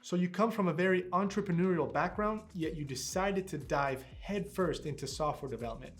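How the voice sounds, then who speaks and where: A man's voice: sits high, fairly quick, background noise. A man hosting an interview, asking questions in an upbeat, friendly, scripted way. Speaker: interviewer for the academy.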